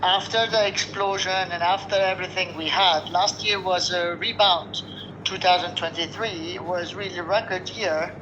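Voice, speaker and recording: Man, Lebanese accent, higher voice, earnest and weary, halting phrases, muffled lo-fi line, steady noise behind. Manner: earnest, weary, speaks in short restarting phrases. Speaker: restaurant owner interviewed. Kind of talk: remote news interview.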